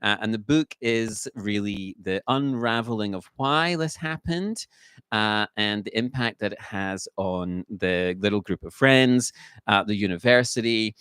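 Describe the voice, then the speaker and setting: A man giving an unscripted book review in a halting way, full of fillers and restarts, a little croaky from a cold. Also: fairly low pitch, a bit bright, no room sound. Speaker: book reviewer, channel host.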